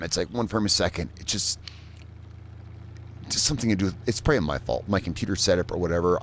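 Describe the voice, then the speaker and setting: Chatty low-voiced American gamer guy. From Indiana, USA. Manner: rambling, chatty, swears freely. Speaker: gaming streamer. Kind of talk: casual live gameplay commentary.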